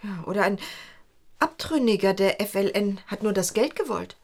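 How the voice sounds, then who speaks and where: An actress playing a detective's sharp-witted, sardonic secretary in a German radio crime drama, with a brisk, teasing manner. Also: female voice, medium pitch, expressive. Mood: businesslike.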